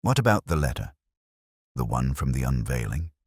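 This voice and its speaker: A man's voice: extremely low pitch, sing-song, moderate pace, slightly rough, no echo, clean studio sound, exaggerated voice. A man voicing an eager junior police constable with short, deferential questions in an audio mystery story. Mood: questioning, startled.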